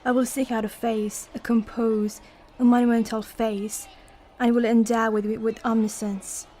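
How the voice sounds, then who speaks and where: A woman's voice: on the high side, level tone, normal speed, warm tone, a little hiss or hum, natural voice. A woman acting a withdrawn, fragile character, introspective and subdued, in a literary drama. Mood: fragile, haunted.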